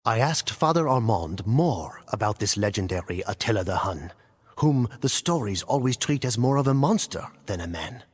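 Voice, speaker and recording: A man giving solemn, measured, scripted dramatic narration in the manner of a storyteller for a game cutscene. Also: lowish voice, animated. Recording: music playing under the voice. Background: clear background music.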